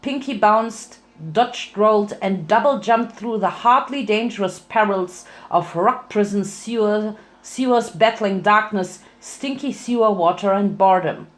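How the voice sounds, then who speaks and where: Female story narrator, average pitch, theatrical and playful dramatic reading. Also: unhurried. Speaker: fan fiction reader.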